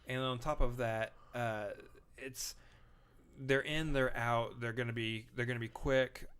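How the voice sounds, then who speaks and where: A man talking casually on a live stream, his voice at an ordinary middle pitch. He is not boisterous; he trails into fillers, restarts his sentences and wanders toward his point, speaking close to the mic. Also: steady tone, a little slow, clean tone. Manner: rambling, lots of fillers and restarts; not boisterous, quieter delivery. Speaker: podcast co-host. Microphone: close microphone.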